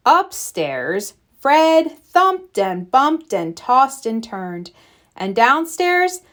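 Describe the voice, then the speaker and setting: A woman reading a bedtime picture book aloud for young children in a deliberate, expressive storytelling manner. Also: fairly high pitch.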